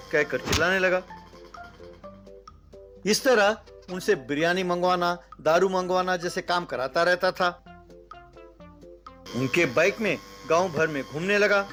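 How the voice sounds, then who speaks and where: High-voiced man narrating a story.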